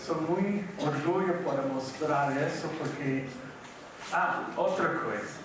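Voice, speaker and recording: Slow, halting older man in an echoey hall. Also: on the high side, background noise. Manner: slow, halting, mixes in Portuguese words. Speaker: keynote speaker. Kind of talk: conference lecture. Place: large university auditorium. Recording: echoey hall from far off with audience.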